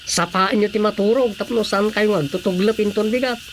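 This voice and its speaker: A man's voice: sits high, moderate pace. A man acting a cheeky, helpful son-in-law with casual teasing delivery in a Filipino radio comedy drama. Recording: steady faint background noise.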